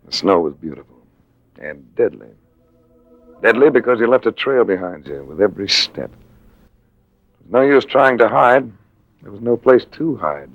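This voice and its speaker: Low-voiced, hardboiled American adventurer. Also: male voice, lively intonation, a little slow, pauses often, no echo, phone-quality sound. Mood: grim narrating. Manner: clipped, dry, hardboiled. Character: cool, wry American male adventurer-investigator, narrating his own case. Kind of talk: 1940s radio drama acting with first-person narration.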